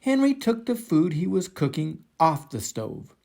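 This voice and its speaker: An older man reads a children's picture book aloud in an expressive, dramatic way, drawing out words for emphasis. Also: a little high, vocal fry, slight background noise. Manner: dramatic, deliberate, drawn-out emphasis. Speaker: storybook reader for children.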